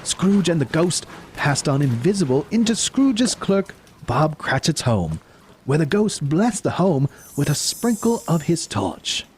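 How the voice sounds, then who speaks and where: Animated, higher-voiced male narrator. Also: measured pace, slight background noise. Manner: dramatic, expressive. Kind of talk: scripted storybook narration for audio drama.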